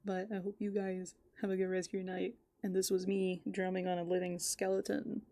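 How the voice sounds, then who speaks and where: A woman playing a playful, easygoing raccoon in an ASMR roleplay, soft-spoken and casual, often dropping into whispers. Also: fairly low pitch, monotone, brisk, natural voice. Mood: relaxed, amused. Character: playful, easygoing female raccoon living in a dumpster. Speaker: ASMR host.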